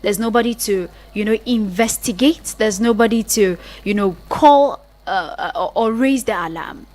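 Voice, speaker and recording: A woman's voice: mid-range voice, moderate pace, a little mellow. Earnest Nigerian woman presenting on radio. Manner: earnest, emphatic, listing points with repeated phrases. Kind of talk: explanatory radio talk on a social issue.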